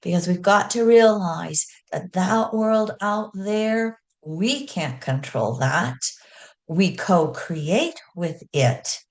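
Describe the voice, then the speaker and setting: A woman's voice: lowish voice, lively intonation, takes it slowly. A middle-aged woman teaching astrology in an earnest, folksy way with self-deprecating asides. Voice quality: loud speaking voice. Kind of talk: teaching astrology talk with slides.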